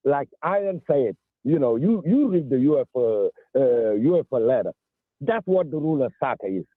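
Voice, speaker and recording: A man in his sixties from Senegal calling in to a sports radio show, speaking emphatically with halting restarts. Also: mid-range voice, big pitch swings, no echo. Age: 60s. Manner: emphatic, halting, repeats himself. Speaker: caller. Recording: tinny phone line.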